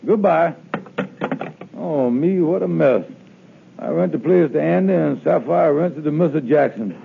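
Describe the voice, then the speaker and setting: An actor playing an evasive, scheming small-time swindler in an old radio comedy, with blustering, folksy, ungrammatical talk. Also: male voice, a little high, unhurried, creaky, a little hiss or hum. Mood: evasive, placating. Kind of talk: 1950s radio comedy acting. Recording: tinny narrow-band old radio recording.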